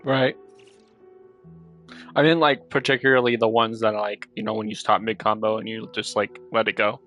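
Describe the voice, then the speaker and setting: A man's voice: lowish voice, level tone, normal speed, a bit bright, soft background music. A man streaming a game, chatting casually and searching for words as he goes. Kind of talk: casual chat while playing a game.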